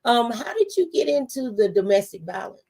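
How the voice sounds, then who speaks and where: A woman's voice: fairly high pitch, animated, moderate pace, warm tone. A woman radio host talking conversationally in a preacherly, testifying way, offering faith-based encouragement. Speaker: radio talk show host.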